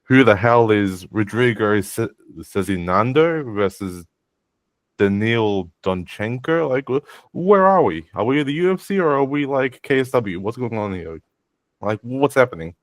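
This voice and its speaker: A man's voice: mid-range voice, sing-song, unhurried, dry recording. A man co-hosting a sports podcast in a blunt, crude, sarcastic ranting style. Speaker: podcast co-host. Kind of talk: casual ranting chat.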